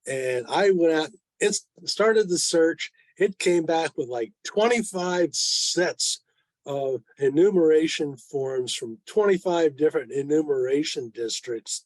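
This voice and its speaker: A man's voice: a little high, darkish tone, dry recording. An older man in his seventies presenting informally to a club on a video call, with a wry, self-deprecating manner. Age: 70s. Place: online video meeting.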